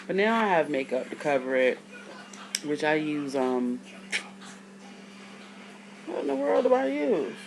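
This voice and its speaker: A woman in her thirties with a deep voice, just out of bed, talking to her camera in a chatty, rambling way with side notes. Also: big pitch swings, measured pace, creaky, long pauses, background noise. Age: 30s. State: just woke up. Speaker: vlogger. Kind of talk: casual talk to camera.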